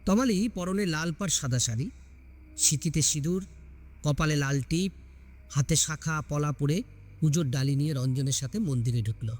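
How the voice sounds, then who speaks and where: Man narrating a story, slightly high voice. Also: moderate pace, a little mellow. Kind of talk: dramatic scripted audio story narration.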